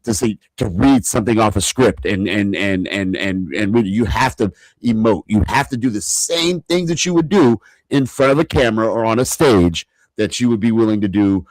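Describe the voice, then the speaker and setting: A man's voice: on the low side, moderate pace, no echo, rough recording. A man co-hosting a podcast, talking emphatically with lots of repeated restarts. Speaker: podcast co-host. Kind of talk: casual interview chat.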